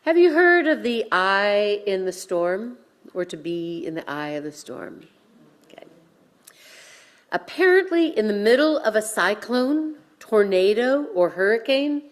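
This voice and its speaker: A woman minister delivering a sermon in a deliberate way, with pauses and dramatic emphasis. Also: fairly high pitch, sing-song.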